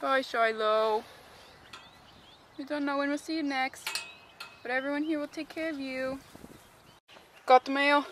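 High-pitched young woman vlogging through noise. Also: steady tone, a little slow, long pauses. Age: young adult. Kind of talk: casual chat to camera and pets. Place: outdoors at stables, in a car and outside. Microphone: handheld camera. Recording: noisy outdoor and car camera audio.

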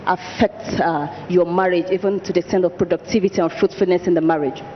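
A man interviewing people about their testimony in a large church hall, asking brisk, prompting questions into a handheld mic. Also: high voice, fairly even intonation, slight background noise. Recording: dull echoey hall sound.

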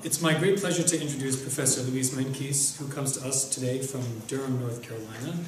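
A man's voice: mid-range voice, far from the mic, slight background noise. Young man introducing a speaker in an echoey room. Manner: measured, reads from notes at a lectern. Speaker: event host introducing a speaker. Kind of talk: formal read introduction. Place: lecture room. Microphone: lectern mic, filmed by far camera.

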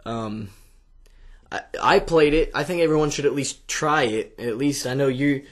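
A man's voice: medium pitch, moderate pace. A young man co-hosting a casual chat, nasal and stuffy from a head cold, on a desk mic in a small home studio. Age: young adult. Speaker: co-host. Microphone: desk condenser mic.

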